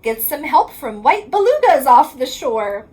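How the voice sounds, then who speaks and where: A woman with a very high voice reads a children's picture book aloud. She goes slowly and in a sing-song rhythm, stretching the ends of lines, and her pitch moves up and down in a lively way. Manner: sing-song rhyming delivery, drawn-out line endings. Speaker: reading specialist reading aloud to children.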